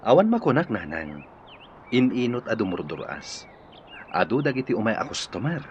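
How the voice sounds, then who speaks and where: A man's voice: on the low side, big pitch swings, moderate pace. A man playing a modest, reserved, lovesick dutiful son in a radio drama, with a Filipino accent. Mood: warm, grateful. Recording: muffled, noisy radio drama recording.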